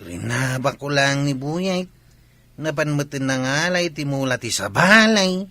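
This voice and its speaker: A man's voice: on the high side, lively intonation, unhurried, sharp tone, soft background music, full character voice. A man acting a village prankster and excuse-making sidekick in an Ilocano comedy radio drama, with an Ilocano accent. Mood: puzzled.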